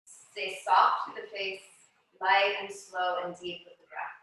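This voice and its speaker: A woman teaching yoga and meditation, giving gentle, soothing, unhurried cues as she guides a practice. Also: medium pitch, level tone. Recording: echoey room, distant microphone.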